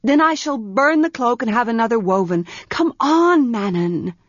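A woman voicing a young priestess girl who gives sharp, commanding orders in an audiobook. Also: a little high, animated, moderate pace, dry recording, lo-fi sound, voice pushed up. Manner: commanding, scoffing. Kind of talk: audiobook character voice.

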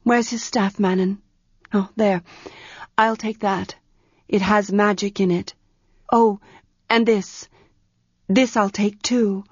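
A woman voicing a young priestess girl who gives sharp, commanding orders in an audiobook. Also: mid-range voice, steady tone, slow, pauses often, slightly rough, no echo, lo-fi sound. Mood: impatient. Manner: commanding, scoffing. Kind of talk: audiobook character voice.

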